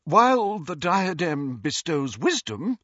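A man's voice: medium pitch, big pitch swings, unhurried, a bit bright, slightly rough, dry recording, highs cut off, pitched above the performer's own voice, exaggerated voice. A man voicing a proud, cold young woman ghost in an audiobook, with a stiff, disdainful and guarded manner. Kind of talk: audiobook character voice.

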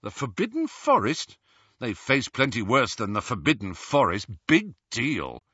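A male reader plays a blunt teenage boy in a storybook, urgent and loyal. His voice is bright and husky, with very animated pitch. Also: unhurried, no room sound, highs cut off, heavily acted. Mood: defiant. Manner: direct, insistent.

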